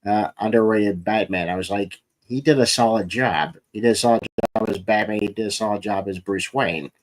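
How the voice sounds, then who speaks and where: A man with a fairly low voice hosts a relaxed, conversational interview show. He speaks informally, like a writer chatting with a guest. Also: a little fast, poor audio. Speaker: podcast host and comics writer. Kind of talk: casual interview chat.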